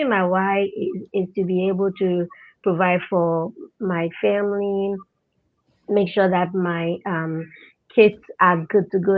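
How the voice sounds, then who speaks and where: Ghanaian-born woman on a tinny call line. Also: mid-range voice. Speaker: panel guest. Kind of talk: casual panel discussion.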